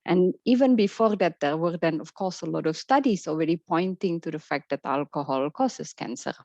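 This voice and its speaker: A woman's voice: fairly low pitch, expressive, moderate pace, dark tone, no echo, lo-fi sound. A woman researcher giving a keynote talk on a webinar, speaking hesitantly with many fillers and restarts. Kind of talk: webinar presentation. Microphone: video call.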